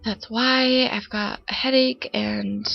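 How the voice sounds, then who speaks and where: A young woman talks through her game build in a loose, rambling way, full of ums and side remarks. She sounds stuffy and congested from a cold, and her voice has a gravelly, creaky edge. The voice-over is a bit dull and lo-fi, with soft music playing underneath. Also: fairly high pitch, steady tone, slow. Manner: chatty, rambling with many fillers. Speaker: Sims building YouTuber. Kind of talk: casual voice-over narration of gameplay.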